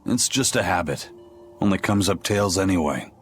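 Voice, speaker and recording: A man playing a gruff, worldly male spirit pirate in a video game, terse and matter-of-fact. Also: low-pitched, animated, moderate pace, fairly bright tone, slight background noise. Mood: dry. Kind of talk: video game cutscene acting.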